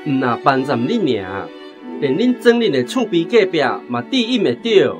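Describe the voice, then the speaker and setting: An actor playing a jovial, persuasive visitor from the city in a radio drama, with a Taiwanese accent. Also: male voice, fairly high pitch, sing-song, moderate pace, music in the background.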